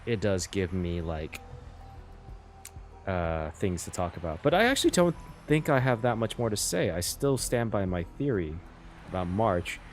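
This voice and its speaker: A man's voice: sits low, sing-song, moderate pace, faint music behind. A man giving casual reaction commentary as a game streamer, informal and prone to swearing.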